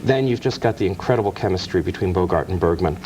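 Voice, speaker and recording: A man's voice: deep voice, quick pace, a little mellow, slight background noise, low-quality recording. A man, a guest author, explaining film history in detail in an interview, with small restarts. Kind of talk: interview talk. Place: TV studio.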